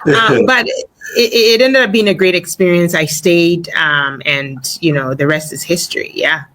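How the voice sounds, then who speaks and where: A woman in her forties, born in Ghana, chatting as an interview guest in a relaxed way, with easy laughter and plenty of fillers. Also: on the low side, a little slow, low-quality recording. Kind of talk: casual interview talk.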